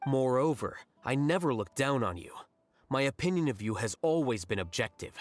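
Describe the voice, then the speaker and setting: An actor playing a coolly analytical male scholar in a video game, speaking in a calm, measured, matter-of-fact way. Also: mid-range voice, lightly acted. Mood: calm, frank. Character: cool, aloof male scholar, coldly analytical. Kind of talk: game voice acting. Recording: game audio over loud music.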